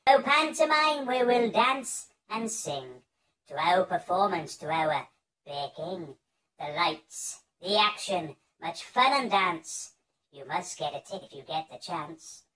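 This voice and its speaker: A man's voice: high voice, lively intonation, slow pace, sharp tone, heavily acted, rough recording. A man playing a festive pantomime bear, welcoming the audience in theatrical, declamatory rhyme like a showman. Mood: grand welcome.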